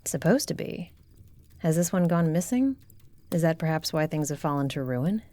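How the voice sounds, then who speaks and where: A woman playing a shrewd, composed shifter royal in a fantasy audio roleplay, with a formal, measured manner. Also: low-pitched, animated, mellow, dry recording. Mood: grave, probing.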